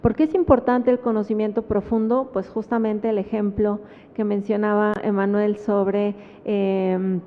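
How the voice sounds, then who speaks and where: A woman with a Mexican Spanish accent gives an academic talk at a book presentation, pausing often and adding tag questions. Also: mid-range voice, little pitch movement. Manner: thoughtful, with frequent fillers and tag questions. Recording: phone-like sound with long hall reverb.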